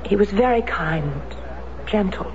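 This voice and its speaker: A determined grieving widow. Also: female voice, mid-range voice, animated, measured pace, darkish tone. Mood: wistful. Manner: firm, pressing, earnest. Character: determined grieving widow, a woman in her thirties. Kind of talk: 1970s radio drama acting. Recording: muffled lo-fi radio broadcast with faint hiss.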